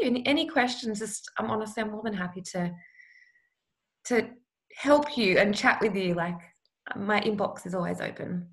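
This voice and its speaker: Easygoing woman podcast guest. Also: mid-range voice. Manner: friendly, easygoing, with ums and repeated fillers. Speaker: podcast guest, meal-planning blogger. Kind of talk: casual interview chat.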